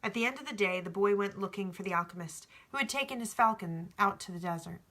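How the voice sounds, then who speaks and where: A woman's voice: mid-range voice, fast talker, sharp tone. A woman reading a novel aloud as storybook narration, in an informal way. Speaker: audiobook reader.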